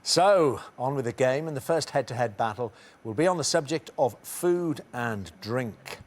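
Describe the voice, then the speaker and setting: A middle-aged man hosting a TV quiz in a studio, reading questions briskly and teasing the contestants. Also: mid-range voice, expressive. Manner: brisk, teasing, reads multiple-choice questions. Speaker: quiz show host.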